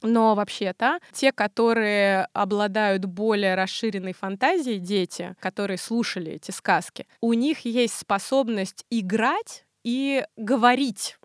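A woman speaking as an expert guest in a podcast interview, explaining in a colloquial, animated way. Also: medium pitch, a little slow, few pauses, no echo, clean studio sound.

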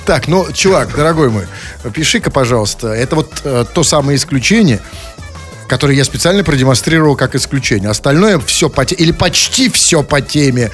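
A man's voice: medium pitch, animated, a little fast. A man hosting a casual comic radio show, bantering in a mock-serious, digressive way with teasing rhetorical questions. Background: music.

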